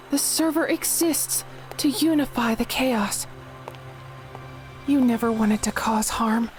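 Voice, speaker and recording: A woman voicing a young, determined and earnest heroic guardian in an animated comic dub. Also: high-pitched, poor audio.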